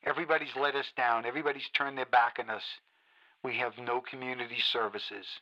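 A man's voice: high voice, moderate pace, sharp tone, highs cut off, exaggerated voice. An actor playing a male radio DJ who rants and pleads on air during a zombie outbreak. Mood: despairing. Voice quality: ranting, pleading. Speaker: radio host. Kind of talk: radio drama acting.

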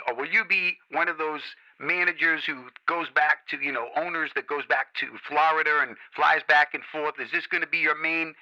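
Bright-voiced hype radio host man. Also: a little high, muffled recording. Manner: smooth-talking, salesy DJ patter. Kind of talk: hyped radio show chat.

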